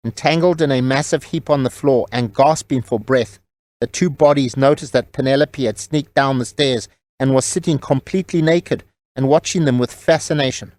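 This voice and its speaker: A man's voice: mid-range voice, moderate pace, darkish tone, no echo. A man reading scripted novel narration as the storyteller of an audio drama.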